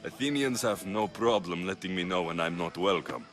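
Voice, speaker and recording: An actor playing a guarded, dry and blunt young Greek mercenary in a video game cutscene. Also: male voice, low-pitched, moderate pace, fairly bright tone, faint music behind. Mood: uneasy.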